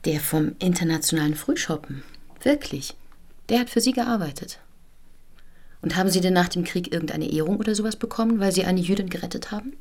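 Low-voiced, curious chambermaid. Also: female voice, expressive, fairly quick, a little mellow. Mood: amazed, curious. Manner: naive, deferential, questioning. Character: polite, curious female chambermaid. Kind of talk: naturalistic radio play dialogue.

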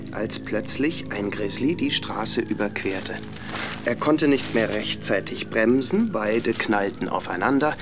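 An actor playing a guarded, defensive husband in a naturalistic radio drama, terse and matter-of-fact. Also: male voice, mid-range voice, creaky.

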